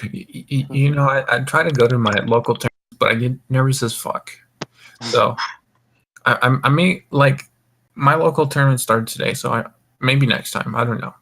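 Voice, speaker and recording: A man's voice: medium pitch, fairly even intonation, moderate pace, a bit bright. A man hosting a casual voice-chat podcast, rambling with frequent restarts and stammered repeats, speaking into a home mic. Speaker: voice call host.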